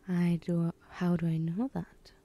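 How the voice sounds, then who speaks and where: A woman's voice: fairly low pitch, warm tone, husky, heavily acted. A woman playing a teasing, menacing female villain in an ASMR roleplay, whispering breathily with a taunting, condescending manner.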